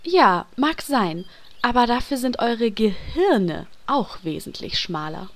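A woman playing a sharp-tongued night elf with a cutting manner in a comedy fantasy audio drama. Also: a little high, big pitch swings, slow, heavily acted. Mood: snippy.

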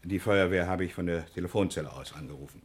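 Low, husky, courteous pharmacy owner. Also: male voice, fast. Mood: animated, recounting. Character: courteous male pharmacy owner, shaken but protesting. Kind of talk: 1960s German radio crime drama acting.

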